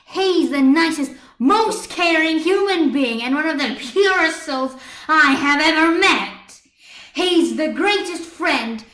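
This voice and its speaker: A woman voicing a furious, protective young female superhero in an amateur story reading, ranting loudly and indignantly into a computer microphone. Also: sits high, roomy sound, voice pushed up, full character voice. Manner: loud, indignant tirade delivery.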